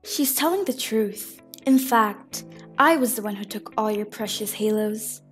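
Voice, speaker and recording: A woman's voice: medium pitch, lively intonation, measured pace, faint music behind, natural voice. A woman voicing an earnest, grieving fountain goddess who speaks in dramatic, pleading speeches in an amateur fan film. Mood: solemn, wistful.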